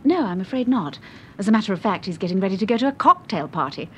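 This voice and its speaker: A woman's voice: medium pitch, sing-song, fast, fairly bright tone. An actress playing a courteous, well-bred English married woman with a British accent in a vintage radio drama. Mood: polite. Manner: courteous, proper. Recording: noisy old radio drama recording.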